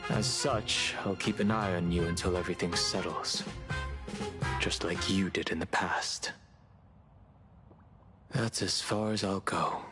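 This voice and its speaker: A man playing a calm, guarded young traveler in a video game, polite, formal and firm, with music behind him. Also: low-pitched, measured pace, long pauses. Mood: measured, wary.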